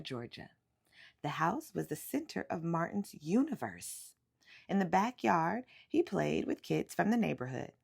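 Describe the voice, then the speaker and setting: Female storyteller for a children's picture book, lively and emphatic, with some vocal fry. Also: medium pitch. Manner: animated, emphatic storytelling with drawn-out words. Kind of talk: expressive read-aloud of a children's picture book.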